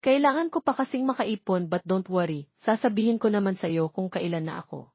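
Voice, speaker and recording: A woman's voice: medium pitch, a little fast, husky, no room sound, lo-fi sound. A woman with a Filipino accent voicing a sweet, dutiful young woman in love in an audio drama. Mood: surprised, gently deferring.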